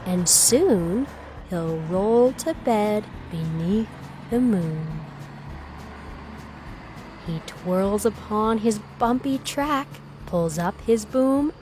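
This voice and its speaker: A woman reading a children's bedtime story aloud, expressively, with gentle, drawn-out phrasing and acted emphasis. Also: on the high side, lively intonation, soft background music. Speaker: storybook reader.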